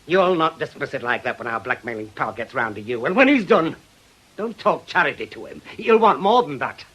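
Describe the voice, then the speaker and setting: An actor playing a bitter, fearful older widower in a British radio drama, sardonic and emphatic, halting when troubled. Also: male voice, medium pitch, big pitch swings, fairly bright tone, husky. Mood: accusing, agitated.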